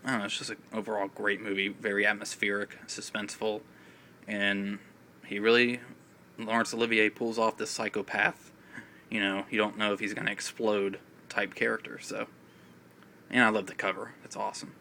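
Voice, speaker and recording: A man with a raspy voice and a cough, chatting in a casual, rambling way about films with many fillers. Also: fairly low pitch, level tone, moderate pace, sharp tone, a little hiss or hum. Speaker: film vlogger. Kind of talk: casual video chat. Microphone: phone.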